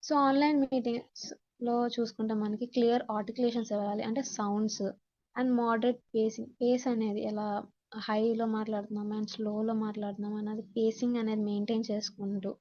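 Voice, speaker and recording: Female Telugu-accented instructor, lightly high and very relaxed tone, point-by-point lesson delivery with repeated explanation. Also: a little fast, highs cut off. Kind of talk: slide-based lesson, explaining in Telugu and English.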